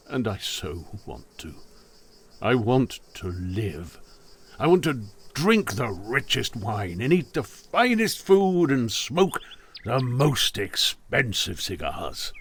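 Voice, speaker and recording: An actor playing an ageing, grumbling retired court official who longs to live, in an audio drama. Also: male voice, mid-range voice, a bit bright, heavily acted.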